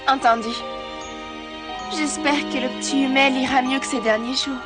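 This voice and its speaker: A woman voicing a shy, gentle, soft-spoken teenage girl in a cartoon. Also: high-pitched, steady tone, moderate pace, over loud music, natural voice.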